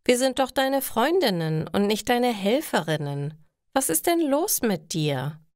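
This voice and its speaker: Woman as a high, firm, outspoken young girl. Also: very animated, unhurried, a little mellow, dry recording, studio quality. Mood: reproachful.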